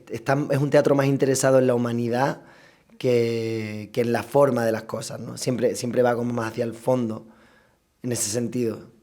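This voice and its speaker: A man, a stage actor, talking reflectively in an interview, thoughtful and halting as he repeats and corrects his words. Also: medium pitch, mellow. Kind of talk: reflective interview talk about stage work.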